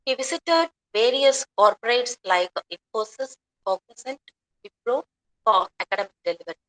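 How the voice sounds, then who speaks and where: A woman's voice: fairly high pitch, lively intonation, normal speed, a bit bright, low-quality recording. A woman reading a formal, respectful introduction of a guest speaker in a webinar. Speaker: assistant professor introducing the chief guest. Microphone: video call.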